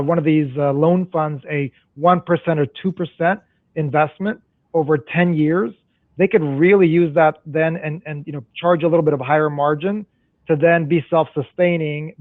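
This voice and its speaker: A man's voice: on the high side, no room sound. A man with a somewhat raised voice explains banking at length, circling back with restarts and "right?". His audio is dry and boxy, squeezed into a narrow phone-like band, as if heard over a remote call. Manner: explanatory, many restarts and tag questions. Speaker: panel guest, community development banker. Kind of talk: panel discussion answer.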